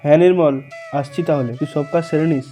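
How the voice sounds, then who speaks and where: Cartoon middle son, male actor: sly, lazy, ingratiating, very mellow dark tone. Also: a little high, fast talker, faint music behind, close to an everyday voice. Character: sly, work-shirking middle son of village headman. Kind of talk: cartoon family drama acting.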